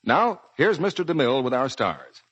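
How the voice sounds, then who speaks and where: Old time radio announcer guy, american, swoopy pitch, bit raspy, muffled vintage broadcast. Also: sing-song, normal speed, a bit bright. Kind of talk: 1940s radio announcing, scripted commercial and credits.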